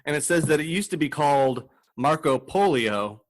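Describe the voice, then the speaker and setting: A man's voice: on the high side, lively intonation, normal speed. A man hosting a comedy podcast with casual, playful, profane banter, acting out bits as he goes.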